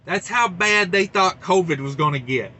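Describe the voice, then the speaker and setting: A man with a high, bright voice hosts a casual trucking show. He reads news aloud and breaks in with folksy wisecracks and asides, loose and chatty throughout. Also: expressive, moderate pace. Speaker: trucker channel host.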